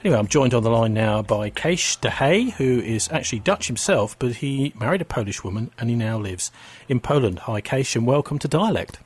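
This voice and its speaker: A man hosting a radio interview, asking brisk, leading questions. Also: medium pitch, expressive, husky. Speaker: radio host.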